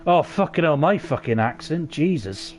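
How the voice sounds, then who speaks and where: A man streaming a video game, talking to the characters in loud, sweary, rambling banter. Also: on the high side, very animated, normal speed, soft background music. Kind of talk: game livestream commentary.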